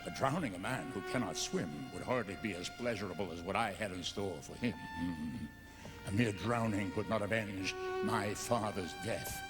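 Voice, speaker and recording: A man's voice: on the low side, moderate pace, close to an everyday voice. An actor playing a vengeful Venetian nobleman who narrates a gothic audio drama, theatrical and brooding, seething beneath sly false courtesy. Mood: brooding, gloating. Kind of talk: melodramatic gothic radio drama narration and dialogue. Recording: music under the voice.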